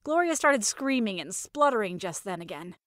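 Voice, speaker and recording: A woman narrating a first-person story in an expressive, conversational manner. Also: mid-range voice, normal speed, no echo, clean studio sound.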